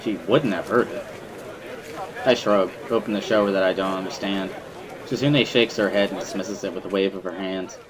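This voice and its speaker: A man's voice: sits low, steady tone, normal speed, a crowd in the background, poor audio. A man reading a visual novel as first-person dramatic narration, in an earnest, brooding storytelling manner. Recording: music behind the voice.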